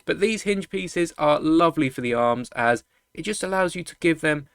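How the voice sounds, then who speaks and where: A man's voice: a little high, animated, normal speed, a bit bright, clean tone, no echo, clean studio sound. A man hosting a hobby showcase video, chatting to viewers in rambling explanations. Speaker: YouTube host of a toy-building channel. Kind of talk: enthusiast video presentation, product showcase.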